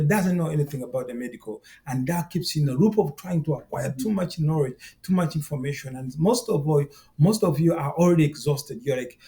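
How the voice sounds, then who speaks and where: A man's voice: a little high, gravelly, warm tone. A middle-aged man from Uganda, talking earnestly and directly to viewers as a course instructor, often repeating himself. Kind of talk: direct-to-camera course talk.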